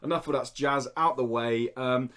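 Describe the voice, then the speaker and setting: A man's voice: medium pitch, moderate pace, a bit bright, smooth. A British man hosting a podcast in casual, jokey chat full of slang. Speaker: podcast host.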